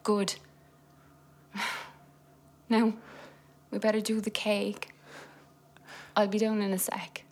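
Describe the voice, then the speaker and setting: An actress playing a seriously ill wife and mother who talks in a weary, blunt way that turns forceful and insistent. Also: mid-range voice, monotone, slow pace, long pauses. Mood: resolute. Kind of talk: naturalistic screen drama acting.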